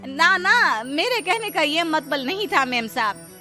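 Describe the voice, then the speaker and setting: A woman's voice: sits high, very animated, a little slow, pushed and bright, soft background music, voice pushed up, full character voice. A woman playing a humble, pleading poor maidservant wife in a dramatized story, speaking deferentially. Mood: apologetic.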